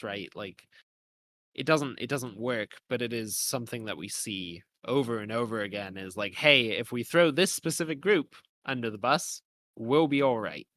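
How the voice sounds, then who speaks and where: Man, bright forward voice, mid pitch, podcast co-host, casual analysis, hedging and winding. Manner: hedging, thinking aloud in long winding sentences.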